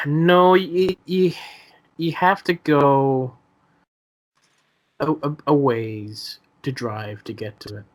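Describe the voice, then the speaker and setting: A man's voice: on the high side, slow pace, long pauses. A twenty-two-year-old man in a casual chat, speaking hesitantly and often repeating his words. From South America.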